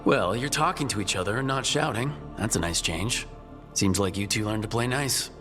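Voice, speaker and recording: An actor playing a decisive, gruffly caring leader of adventurers in a fantasy video game. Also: male voice, on the low side, moderate pace, a bit bright, faint music behind, close to an everyday voice. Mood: brisk, wry. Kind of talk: dramatic fantasy game dialogue.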